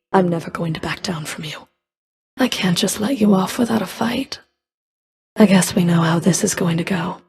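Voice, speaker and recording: An actress voicing a stern, scornful female underworld enforcer in a video game, with a cold, deliberate, menacing manner. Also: medium pitch, gravelly, husky, full character voice. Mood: resigned. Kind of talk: video game character voice lines.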